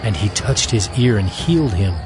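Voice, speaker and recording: A man's voice: fairly low pitch, expressive, normal speed, music in the background, low-quality recording. A man reading scripted narration in a dramatized scripture production.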